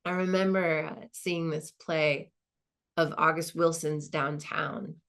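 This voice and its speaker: A woman playing a reflective, earnest professor in her sixties, in a halting, conversational stage monologue. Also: low-pitched, steady tone, fairly bright tone, heavily acted. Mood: earnest, moved. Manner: halting, thoughtful, colloquial. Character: reflective, earnest 64-year-old woman, professor nearing retirement. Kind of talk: dramatized first-person monologue, conversational.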